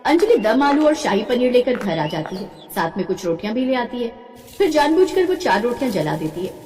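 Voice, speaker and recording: A woman's voice: fairly high pitch, very animated, fairly quick, a little mellow, slight background noise. A woman reading scripted story narration as the narrator. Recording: echoey hall-like reverb.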